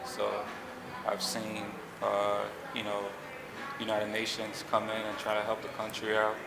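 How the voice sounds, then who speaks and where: Gravelly, low-voiced man telling his story. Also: measured pace. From Haiti. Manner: relaxed, rambling, with many fillers and restarts. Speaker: pilot and jet company owner. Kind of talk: casual interview storytelling. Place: outdoors on a city street. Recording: echoey with loud background noise.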